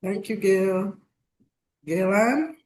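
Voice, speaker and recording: A woman's voice: mid-range voice, drawn-out words, a little mellow. A woman hosting an online meeting, calling on each participant in turn and stumbling over a few names. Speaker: host. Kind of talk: online meeting roll call. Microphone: video call.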